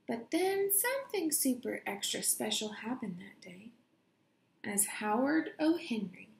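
A young woman reading a children's picture book aloud in a deliberate, expressive storytelling way, recorded in a room at home. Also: fairly high pitch, vocal fry. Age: young adult. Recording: roomy home echo.

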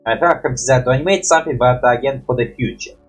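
A man's voice: mid-range voice, racing, soft background music. A young man reacting casually to animations in rambling, hesitant, non-native English, with habitual mild swearing. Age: young adult. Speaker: reaction video host. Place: small room at home.

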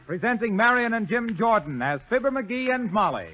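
A man's voice: high voice, very animated, normal speed, over loud music. A man announcing a 1930s radio program, reading scripted ads and introductions. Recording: muffled 1930s radio broadcast.

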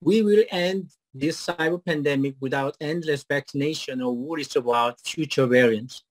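A middle-aged man with a Korean accent, a startup founder giving a rehearsed pitch and then answering questions haltingly with many fillers over a video call. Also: no echo. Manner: hesitant, many fillers and restarts when answering. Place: home office on video call. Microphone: video call webcam.

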